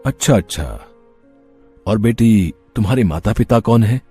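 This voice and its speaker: A man acts out a kind older tea-stall uncle for a cartoon moral tale. The voice is very raspy and fairly low, with a mellow tone. He sounds fatherly and concerned for others. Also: animated, measured pace, soft background music. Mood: gentle, caring. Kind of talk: Hindi moral-story cartoon voice acting.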